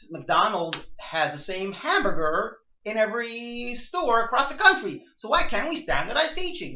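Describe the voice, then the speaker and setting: Male teacher, very animated delivery, rhetorical questions, restated phrases, dull roomy recording. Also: sits high. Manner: rhetorical questions, repeats and rephrases himself. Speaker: teacher leading a class. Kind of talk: interactive lecture to a small class. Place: indoor room with a few students. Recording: echoey room, dull muffled sound.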